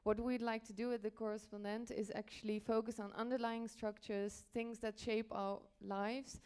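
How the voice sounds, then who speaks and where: A woman's voice: a little high, level tone, moderate pace, a little mellow, clear, resonant tone. A woman from the Netherlands giving a conference presentation as a panel speaker, a little hesitant and stopping to reword as she goes. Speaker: panel speaker, journalism editor.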